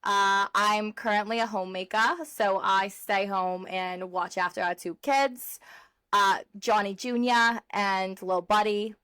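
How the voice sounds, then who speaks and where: A gossipy Jersey mob wife, as a woman puts her on, rambling through her backstory with lots of fillers and a loose put-on accent. Her voice is smooth and mid-pitched. The sound is dry and close. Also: pushed and bright, no room sound. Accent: put-on vague Jersey accent. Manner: rambling, laughing, filler-heavy.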